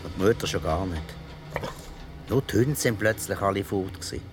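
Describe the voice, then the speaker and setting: A man's voice: low-pitched, a little fast, noisy background, close to an everyday voice. An actor playing an older man reminiscing about his childhood in a radio play, dry and reflective, with a Swiss German accent. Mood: reflective, wry.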